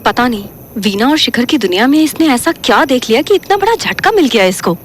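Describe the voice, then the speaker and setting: A woman's voice: fairly high pitch, very animated, brisk, slight background noise. A woman playing a bossy, impatient young woman in an audio drama, mixing in English phrases. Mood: puzzled, uneasy. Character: bossy, sharp-tongued young woman hunting for her sister. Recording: music bed under voice.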